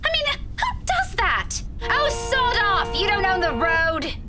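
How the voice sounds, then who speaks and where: A woman's voice: extremely high pitch, wildly swooping pitch, takes it slowly, loud music behind the voice, voice pushed up, exaggerated voice. A woman voicing a worried, scolding young woman who is protective of her friend, in a visual novel read-aloud. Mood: indignant.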